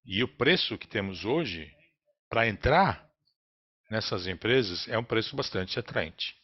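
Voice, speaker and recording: A man with a Brazilian accent interprets a business earnings call live, in a halting, phrase-by-phrase delivery. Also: lowish voice, animated. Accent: Brazilian Portuguese. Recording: dull, lo-fi conference call audio.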